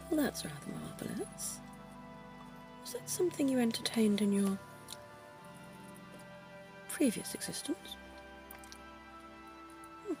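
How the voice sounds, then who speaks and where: A woman voicing a centuries-old, genteel female vampire dentist in an ASMR roleplay, speaking in a soft, posh, coaxing whisper. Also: on the high side, full character voice.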